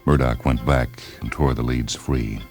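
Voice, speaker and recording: A man with an extremely deep voice narrates fiction for a radio program. His delivery is measured and deliberate, with faint music playing underneath. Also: steady tone. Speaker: narrator, story reader. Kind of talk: scripted dramatic narration of fiction.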